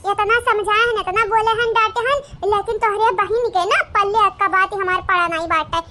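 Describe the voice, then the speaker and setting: A woman's voice: very high-pitched, fairly even intonation, normal speed, background noise. A woman voicing a quarrelsome adult village wife in a cartoon, speaking with an Awadhi accent in a scolding, complaining rant. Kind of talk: cartoon comedy voice acting.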